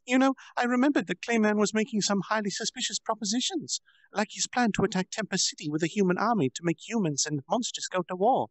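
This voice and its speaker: A man's voice: high voice, quick pace, no echo, pitched above the performer's own voice, natural voice. A man voicing a proud, childlike girl demon lord who boasts in a bubbly sing-song.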